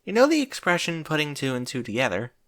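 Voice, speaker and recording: A man's voice: fairly high pitch, wildly swooping pitch, fast, fairly bright tone, voice pushed up, exaggerated voice. A man voicing a bookish, anxious female unicorn who speaks earnestly and fretfully in a storybook reading. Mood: worried, embarrassed.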